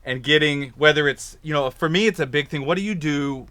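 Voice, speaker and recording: Brief: upbeat studio host from Kansas, bright tone, dry close sound. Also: male voice, a little high, a little fast, smooth, dry recording. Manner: upbeat, joking, energetic. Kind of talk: casual studio chat. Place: recording studio.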